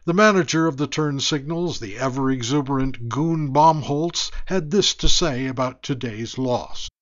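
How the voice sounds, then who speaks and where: A man playing a deadpan radio sports newsreader delivering scripted parody news. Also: medium pitch, normal speed, dull audio, natural voice.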